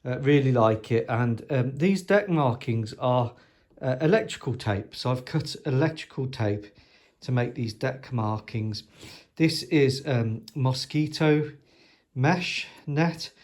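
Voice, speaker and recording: A man's voice: mid-range voice, slow pace, darkish tone. A man with hay fever who sounds sniffly, casually showing off his model collection at home in a chatty, rambling way with lots of ums and dry jokes. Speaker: scale model hobbyist.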